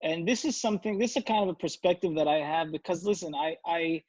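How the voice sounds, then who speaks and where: A man from Israel, a podcast guest, talking earnestly and pausing often to search for his words. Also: on the high side, darkish tone, dry recording. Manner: earnest, searching for words with many pauses and fillers. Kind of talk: casual interview conversation.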